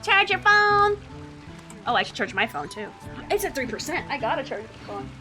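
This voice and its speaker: A woman's voice: high voice, big pitch swings, normal speed. A woman giving casual, playful live game stream commentary, often repeating her phrases. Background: game music.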